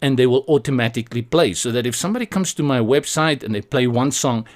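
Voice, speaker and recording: A man narrates a software tutorial as its host. His pitch sits in the middle range for a male voice. He explains things conversationally, adding casual asides as he goes. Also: quick pace.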